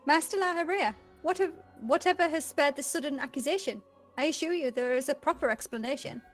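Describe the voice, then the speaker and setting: A woman's voice: high-pitched, a little fast, lightly acted. A woman voicing a proud, devoted keeper of a fantasy prison, formal, earnest and deferential. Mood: alarmed. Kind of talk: dramatic reading of fantasy game dialogue. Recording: music under the voice.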